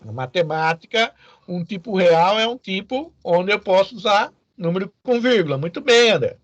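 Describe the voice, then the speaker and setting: A man with a high-pitched voice and a Brazilian accent teaches in an informal, step-by-step way. He often poses short questions to check that students follow. The recording is dry and somewhat muffled, with little room sound. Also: lively intonation, moderate pace, no echo. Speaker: programming teacher. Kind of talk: informal explanatory lecture to students.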